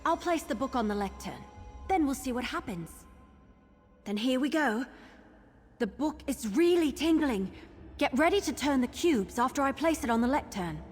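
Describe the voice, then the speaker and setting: Fantasy game companion: curious, encouraging young woman adventurer, high-pitched, lively swings in tone, music underneath. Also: moderate pace. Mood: curious, eager. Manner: exclamatory, eager, encouraging. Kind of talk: fantasy video game voice acting.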